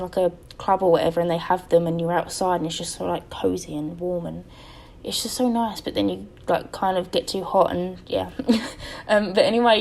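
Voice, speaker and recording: A young woman chats her way through a voice-over, her voice tired and faintly crackly. She rambles and stops often to correct herself, with plenty of "um" between thoughts. Her pitch sits right in the middle for a woman. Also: warm tone. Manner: rambling, chatty, self-correcting with many ums. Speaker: game building YouTuber. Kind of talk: casual voice-over over gameplay.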